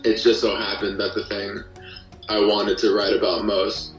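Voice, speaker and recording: A man being interviewed as a podcast guest, chatting casually in a hesitant, halting way. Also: lowish voice, flat delivery, faint music behind. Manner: hesitant, halting delivery with frequent hedging. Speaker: podcast guest, musician and creator of an audio drama. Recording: roomy echo, distant from the mic.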